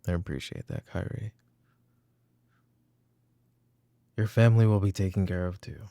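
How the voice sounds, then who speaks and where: A man playing a weary, brooding middle-aged mafia boss and father in a roleplay audio drama, speaking in a halting, intimate way. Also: warm tone, dry recording. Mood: weary, agitated. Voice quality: gravelly, low. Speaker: voice actor.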